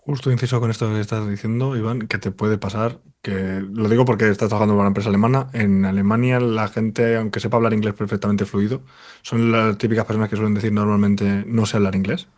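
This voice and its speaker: A man's voice: fairly low pitch, flat delivery, quick pace, darkish tone. A man on a casual podcast panel, explaining a point with examples.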